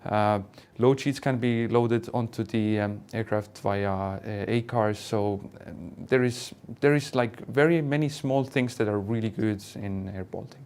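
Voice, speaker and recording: A man speaking as an airline pilot and instructor, answering questions in an online Q&A in a hesitant, measured way. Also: on the low side, a little mellow, clean tone.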